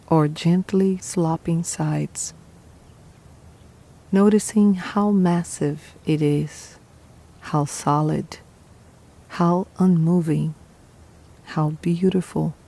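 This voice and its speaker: A woman guiding a meditation in a calm, unhurried, soothing manner, leaving pauses between short phrases. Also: low voice. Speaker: meditation guide. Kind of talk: guided meditation, scripted narration.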